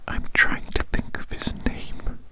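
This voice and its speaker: Breathy close-up ASMR whisperer. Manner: musing, unhurried, repeats phrases. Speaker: ASMR video host. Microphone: very close microphone.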